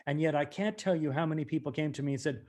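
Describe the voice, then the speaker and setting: A man from the United States with a fairly high voice talks as an interview guest. He speaks in a reflective, deliberate way and puts weight on key words as he recalls his work as an actor. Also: a little mellow.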